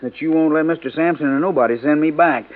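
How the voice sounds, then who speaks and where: An actor playing a frightened, stubborn male servant in a 1950s radio drama, halting, folksy and pleading. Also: on the high side, natural voice. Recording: tinny old radio recording.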